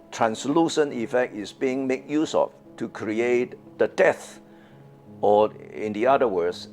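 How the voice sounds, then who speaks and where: An older man from Hong Kong with a Hong Kong English accent, speaking about his own art in an interview and hesitating with many fillers and repeated words. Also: on the low side. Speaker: artist. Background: soft guitar music.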